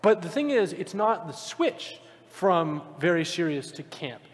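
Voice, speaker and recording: Male panelist, fairly high voice, informal and emphatic debater, recorded in a big echoing hall. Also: big pitch swings, measured pace. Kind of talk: casual live panel debate. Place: large convention panel room with microphones. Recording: very reverberant hall, live panel.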